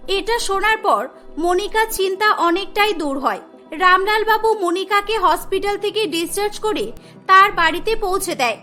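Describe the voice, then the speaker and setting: A woman narrating a cartoon story in a clear storytelling manner. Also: very high voice, lively intonation, moderate pace, sharp tone, soft background music.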